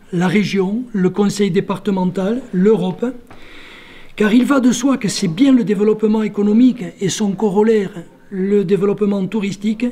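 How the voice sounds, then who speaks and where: A man, a local official, delivering a formal prepared speech in measured institutional phrasing with careful lists. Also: high-pitched, dark tone.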